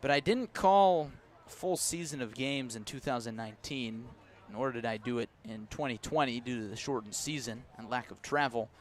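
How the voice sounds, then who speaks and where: An American man doing live radio baseball play-by-play from a ballpark, slipping between pitch calls and conversational asides. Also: normal speed, few pauses. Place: outdoors at a ballpark.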